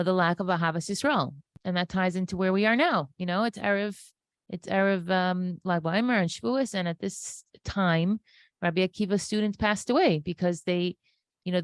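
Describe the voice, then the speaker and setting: A woman's voice: medium pitch, dry recording, clean studio sound. A woman speaking off the cuff in an earnest, emphatic religious inspirational talk, repeating phrases for emphasis. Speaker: interview guest, inspirational speaker.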